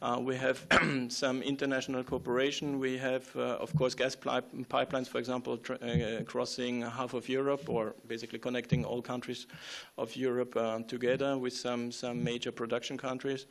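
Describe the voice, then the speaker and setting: Halting man with a gravelly voice. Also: mid-range voice, flat delivery. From Austria. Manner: halting, many fillers and restarts; frequent throat clearing. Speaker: conference speaker. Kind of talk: conference talk.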